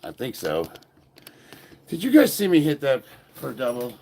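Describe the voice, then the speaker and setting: A man's voice: medium pitch, huge pitch swings, moderate pace, mellow. A man in his fifties with a stuffy nose, chatting informally as he hosts a training livestream. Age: 50s. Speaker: powerlifter and livestream host. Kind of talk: casual livestream chat while training. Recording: noisy room, ambient background sound.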